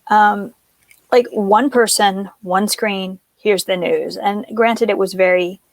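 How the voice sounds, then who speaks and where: A woman in casual conversation on a video call, talking in a chatty, animated way. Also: mid-range voice, takes it slowly, dry recording. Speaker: co-host.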